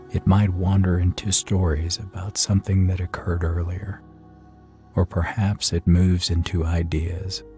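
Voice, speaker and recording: A man guides a meditation in a very low, dark and relaxed voice over faint music. His delivery is soothing and even, unhurried, with long pauses left between phrases. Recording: soft music behind the voice.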